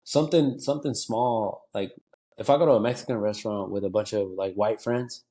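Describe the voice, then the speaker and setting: A man's voice: fairly low pitch, fairly quick, warm tone. Casual American guy talking slangy. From United States. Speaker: comedian, podcast guest. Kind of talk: casual chat, slangy and sweary.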